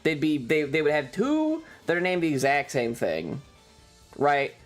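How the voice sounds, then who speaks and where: A streamer rambling casually through a personal story in an exasperated, sweary way, with halting, repetitive phrasing. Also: medium pitch, very animated, soft background music.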